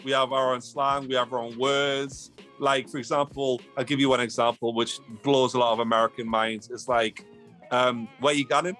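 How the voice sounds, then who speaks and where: Animated Geordie man chatting. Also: mid-range voice, moderate pace, soft background music. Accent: Geordie (Newcastle). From Newcastle, England. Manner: animated, repeats himself, swears casually. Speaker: guest. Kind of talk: casual podcast chat.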